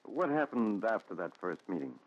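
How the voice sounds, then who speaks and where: An actor playing an attentive doctor who questions a patient in a 1940s radio thriller. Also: male voice, fairly low pitch, sing-song, normal speed. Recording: dull, slightly noisy 1940s radio recording.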